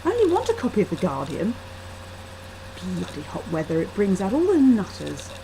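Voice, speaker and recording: Woman reading out her short story, higher voice, super up and down, slightly noisy webcam audio. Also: wildly swooping pitch, normal speed, mellow. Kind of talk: first-person story narration. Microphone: laptop webcam. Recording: faintly noisy laptop webcam microphone.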